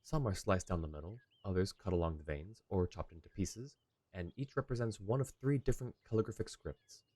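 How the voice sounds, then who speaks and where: Man reading as a genteel bookish young swordsman, low-voiced. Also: fairly quick, darkish tone, husky, no echo. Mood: refined, playful. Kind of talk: reading game dialogue in character. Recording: game music behind.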